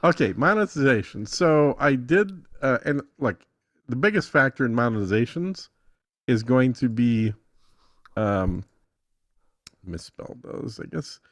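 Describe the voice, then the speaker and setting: A man's voice: mid-range voice, expressive, measured pace, fairly bright tone, dry recording. A man giving a casual tutorial as a podcast guest, informal and thinking aloud as he goes. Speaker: podcast guest demonstrating an app.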